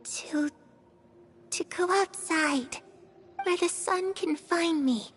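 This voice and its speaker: A woman voicing a lonely, haunted young girl in a video game, ominous and wistful, with a hushed, halting delivery. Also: extremely high pitch, a little hiss or hum.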